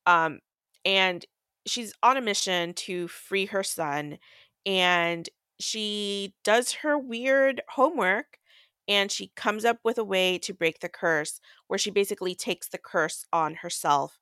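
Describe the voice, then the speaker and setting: A woman's voice: medium pitch, takes it slowly, pushed and bright, clean tone, no room sound, clean studio sound. A woman co-hosting a podcast, casually recapping a book in a rambling, slangy way. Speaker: podcast co-host.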